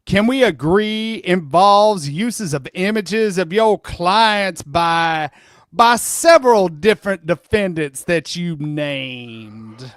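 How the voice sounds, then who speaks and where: A man's voice: sits high, expressive, takes it slowly, fairly bright tone, no echo, studio quality, full character voice. A man playing a persistent, polite lawyer questioning a witness in a comic deposition reading, methodical and placating, repeating himself as he presses. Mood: probing.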